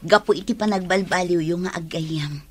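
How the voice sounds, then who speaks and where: A woman's voice: fairly low pitch, moderate pace, darkish tone, slightly rough. A woman with an Ilocano accent playing a kind, patient, prayerful wife in a radio drama. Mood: grateful, tender.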